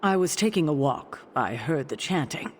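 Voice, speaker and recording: An actress playing a stern, composed sorceress with dry, pointed wit in a video game. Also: female voice, low-pitched, lively intonation, moderate pace, long reverb tail, a little hiss or hum. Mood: stern, suspicious. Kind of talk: video game cutscene acting.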